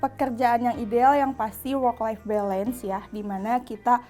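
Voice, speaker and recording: A young woman in her early twenties chats easily through her answers in a slightly high voice. Her tone is casual and unhurried. Faint music runs underneath. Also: fairly even intonation, dark tone. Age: young adult. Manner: easygoing, chatty. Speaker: interviewee, graphic designer.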